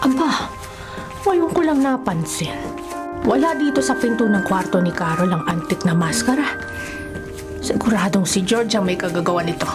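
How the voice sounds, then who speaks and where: A woman's voice: mid-range voice, expressive, moderate pace, pauses often, lightly acted. Casting: woman playing grumbling household nanny, Filipino accent, radio horror, muttering to herself over music. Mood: exasperated. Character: grumbling household nanny, exasperated by mischievous children.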